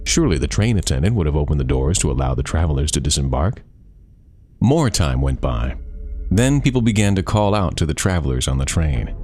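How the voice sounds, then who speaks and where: A man reading a scary story as a storyteller, with suspenseful, deliberate dramatic phrasing. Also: very deep voice, very animated, a little mellow.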